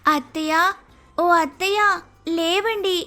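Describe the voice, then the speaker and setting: A woman's voice: extremely high pitch, slow, pushed and bright, soft background music, heavily acted. A woman playing a polite, naive young daughter-in-law with an Andhra Telugu accent in a story cartoon, hesitant and easily confused. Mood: polite, innocent. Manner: deferential, hesitant, innocent.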